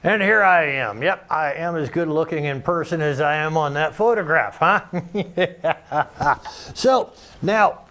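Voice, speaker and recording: A man with a loud voice giving a casual, rambling campaign talk to camera as his own show's host, with many restarts and fillers. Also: on the high side, unhurried. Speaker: political candidate hosting his own weekly videos. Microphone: camera microphone close by.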